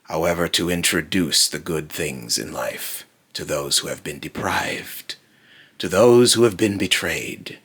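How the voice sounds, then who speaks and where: A man voicing a brooding otherworldly being in a slow, halting, grave monologue, raspy and breathy, warning darkly about mortals. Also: low voice, expressive, a bit bright, full character voice. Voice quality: raspy, breathy, lapsing into harsh whisper. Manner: slow, halting, repeats phrases, ominous and grave. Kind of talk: dark dramatic monologue.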